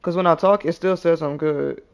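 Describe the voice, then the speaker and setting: A man's voice: fairly high pitch, normal speed, gravelly. A man hosting a casual online chat, talking loosely in slang while checking his mic level. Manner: relaxed slangy, self-conscious laughs. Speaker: podcast host. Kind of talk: casual chat testing audio. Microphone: headset mic close to face.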